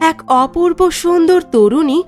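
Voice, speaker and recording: A woman's voice: extremely high pitch, animated, normal speed, soft background music. A woman giving scripted storybook narration of a fairy tale.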